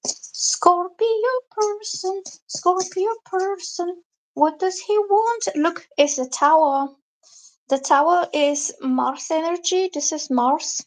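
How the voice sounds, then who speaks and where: A high-voiced woman reads tarot cards on a live stream. She talks in a chatty, loose way and drifts from point to point as she explains the cards. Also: steady tone, slow, low-quality recording. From Bulgaria. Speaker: tarot reader.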